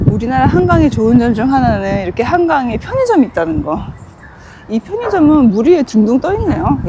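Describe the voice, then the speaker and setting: A woman's voice: high-pitched, heavy background noise. A middle-aged woman from Busan with a Busan dialect accent, chatting casually as a travel vlogger outdoors, exclaiming often. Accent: Busan Gyeongsang dialect. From Busan, South Korea. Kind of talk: casual vlog chat. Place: outdoors by a river and in a convenience store. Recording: background music throughout.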